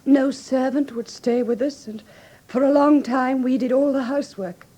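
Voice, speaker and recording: A woman with an English received pronunciation accent playing a frightened, grieving young gentlewoman who speaks formally and earnestly in a classic radio drama. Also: sits high, normal speed. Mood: anxious, sorrowful. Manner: formal, earnest, precise storytelling.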